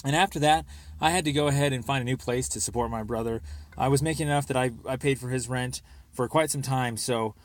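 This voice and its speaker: A man's voice: mid-range voice, a little fast. A young American man leaving a rambling personal voicemail, speaking in an insistent, aggrieved and repetitive way.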